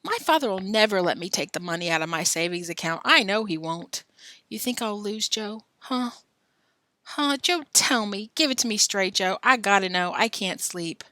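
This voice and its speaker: A woman's voice: mid-range voice, animated, normal speed, pushed and bright, no echo, studio quality. A woman voicing an anxious young boy, whispering urgently and pleading on a late-night phone call.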